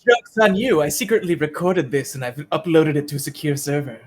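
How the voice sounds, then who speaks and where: A woman's voice: deep voice, lively intonation, a little fast, a little mellow. A woman voice actor chatting casually, joking and self-deprecating, on a panel. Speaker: voice actor on a fan dub Q&A panel.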